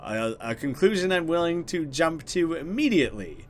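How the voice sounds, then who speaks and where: Lively male let's player, wry asides. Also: fairly high pitch, moderate pace, soft background music. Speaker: gaming YouTuber. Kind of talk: let's play, reading a visual novel aloud with asides.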